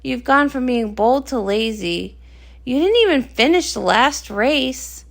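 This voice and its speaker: A woman's voice: sits high, lively intonation, unhurried, vocal fry, exaggerated voice. Woman playing a stern, blunt female pony captain.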